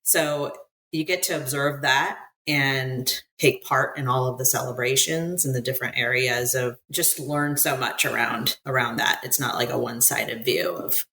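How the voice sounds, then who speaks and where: A woman's voice: extremely low pitch, fairly even intonation, moderate pace, bright, forceful tone. An American woman talking as a podcast guest about her own experiences, talkative, often restarting mid-thought. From United States. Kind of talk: conversational interview, recounting work experiences abroad.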